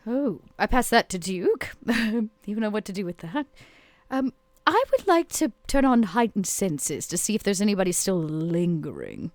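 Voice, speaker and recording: A woman's voice: medium pitch, very animated, moderate pace, no room sound. A woman speaking casually as a player in a tabletop roleplaying game.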